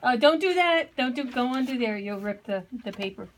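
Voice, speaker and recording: A woman's voice: a little high, expressive, a little fast, noisy background. A woman chatting casually as an audience member at a children's home show. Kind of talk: casual chat. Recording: home recording with chatter around.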